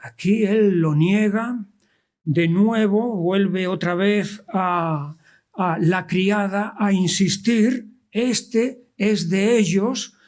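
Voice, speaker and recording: Guy preaching w madrid spanish accent, pretty high voice, really slow n deliberate, reads bible verses. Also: darkish tone. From Spain, born in Andalusia and raised in Madrid. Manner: deliberate, with pauses and rhetorical questions. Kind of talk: expository sermon with scripture readings.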